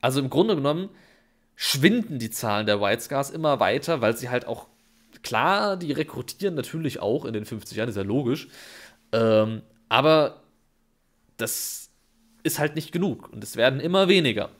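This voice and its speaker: A man's voice: medium pitch, lively intonation, normal speed. A man co-hosting a podcast, explaining in a chatty way with many fillers, still slightly sick with a cold. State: has a cold, coughing. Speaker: podcast co-host.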